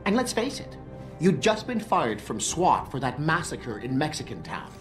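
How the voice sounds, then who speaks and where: An actor playing a prickly, condescending corporate security tech expert in a video game, with a snide, sarcastic manner. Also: male voice, fairly high pitch, big pitch swings, normal speed. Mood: bitter, needling. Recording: background music under the voice.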